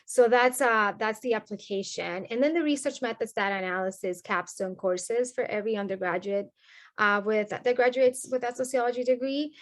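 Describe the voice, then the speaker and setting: A woman's voice: on the high side, moderate pace. A woman from Colombia with a Colombian Spanish accent, a sociology professor answering interview questions in an earnest, explanatory way.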